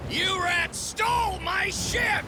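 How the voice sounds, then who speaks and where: An actor playing a furious, crazed rival pirate captain bellowing in a drawn-out way in a video game. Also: male voice, extremely high pitch, heavy background noise. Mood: enraged. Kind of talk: English video game dub acting.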